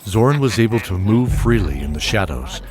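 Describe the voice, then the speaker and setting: A man narrating a fantasy audio drama in a steady, descriptive manner. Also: on the low side, brisk, over music. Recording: loud score behind.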